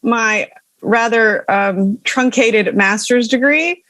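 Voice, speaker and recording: A woman's voice: a little high, slow, fairly bright tone, no echo. Wry, chatty woman opera singer. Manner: self-deprecating, wry, chatty. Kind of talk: casual interview chat. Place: small room at home.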